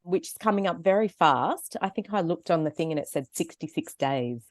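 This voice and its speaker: A woman with an Australian accent, co-hosting a podcast with upbeat, animated chat. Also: lowish voice, brisk, dry recording, clean studio sound. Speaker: podcast co-host. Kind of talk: casual chat and ad read.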